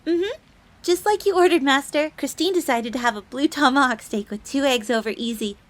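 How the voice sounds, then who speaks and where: A young female fox maid in an audio roleplay, pitched very high. She is sweet, eager and devoted, always deferential to her master. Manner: deferential, refers to herself in third person.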